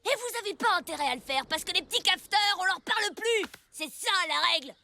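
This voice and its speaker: A woman's voice: extremely high pitch, expressive, fast, bright, forceful tone, a little hiss or hum, exaggerated voice. A woman voicing a mocking, bratty young boy bully in a cartoon, taunting the others. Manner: taunting, sly.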